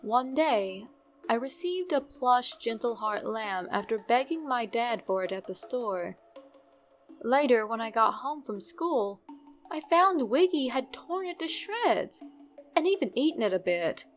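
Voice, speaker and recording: High-voiced woman narrating a creepy story. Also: lively intonation, measured pace, soft background music. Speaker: narrator reading a horror story.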